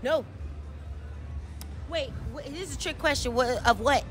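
A young woman rambles and giggles through her answers. Her voice is a little high, and loud music fills the arcade around her. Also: a little slow. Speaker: interviewee. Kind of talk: casual candid answers. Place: arcade at night.

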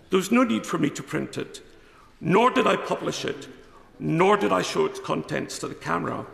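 Middle-aged politician, formal and defensive, gravelly voice, slightly high, chamber reverb. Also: male voice, fairly bright tone. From Scotland. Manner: formal, defensive, reading from notes. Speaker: member of parliament. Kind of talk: parliamentary speech. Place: debating chamber. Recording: echoey chamber with long reverb.

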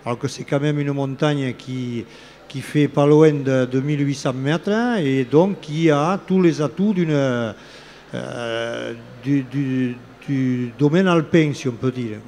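Older man, elected official, live fair-stand interview, halting speech, handheld mic, faint hall noise behind. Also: mid-range voice, darkish tone. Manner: hesitant, many fillers and restarts. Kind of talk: live talk-show interview. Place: open studio set at a trade fair hall. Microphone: red handheld microphone.

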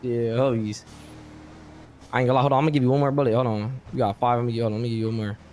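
A man's voice: medium pitch, flat delivery, very fast, a little mellow, slight background noise. A man roleplaying in a crime game, bantering in profane, argumentative street slang. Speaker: online roleplay gamer.

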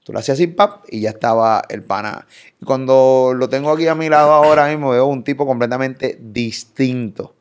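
Animated Puerto Rican man hosting a podcast. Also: mid-range voice, fairly quick, clear, resonant tone. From Puerto Rico. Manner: energetic, playful, swears, waves hands while talking. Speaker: podcast host. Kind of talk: casual interview. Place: pink studio set. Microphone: boom studio mic.

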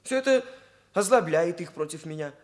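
Young male hairdresser actor role, Soviet radio play: high-pitched, swooping delivery, solemn officialese, touchy and earnest. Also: expressive, moderate pace, close to an everyday voice. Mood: aggrieved, earnest. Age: young adult. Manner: stilted, pompous officialese. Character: earnest, aggrieved young male hairdresser, stiffly bureaucratic speech. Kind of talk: Soviet radio drama acting.

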